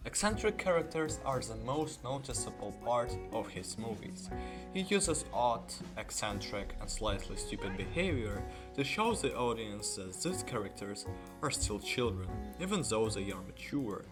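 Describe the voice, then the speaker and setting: A man delivers scripted video essay narration in a lower-pitched voice. Guitar music plays clearly behind him as he explains things to his viewers. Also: big pitch swings, moderate pace. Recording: music under the voice.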